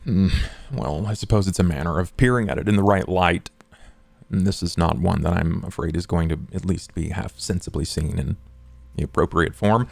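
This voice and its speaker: A man voicing a courtly, macabre male vampire fixer in a tabletop roleplay drama, speaking in an ornate, formal, measured way. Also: low-pitched, creaky, dry recording. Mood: grave.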